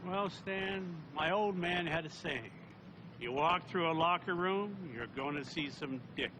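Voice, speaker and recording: Casting: male actor as shady film lawyer, fairly high voice, folksy and unbothered, outdoor traffic noise. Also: unhurried, lightly acted. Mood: cynical, unbothered. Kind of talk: live-action comedy film acting.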